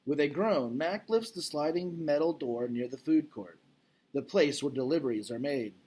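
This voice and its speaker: A man's voice: a little high, moderate pace, a little mellow, slightly rough. A man speaking as a teacher, casually recapping and reading a storybook aloud in an informal, explanatory way, checking in with listeners.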